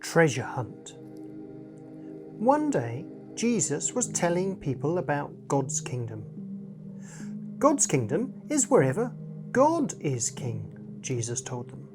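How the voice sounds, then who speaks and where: Lively lower-voiced woman reading slowly. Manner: animated, deliberate storytelling. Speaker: story reader. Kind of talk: expressive storybook narration for children. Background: music.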